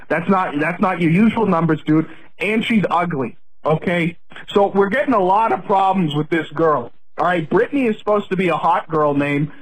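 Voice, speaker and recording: Male caller, slightly high voice, taunting and scornful, voicemail playback. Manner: mocking, taunting, drawn-out asides. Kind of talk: hostile voicemail rant. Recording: voicemail played over phone line.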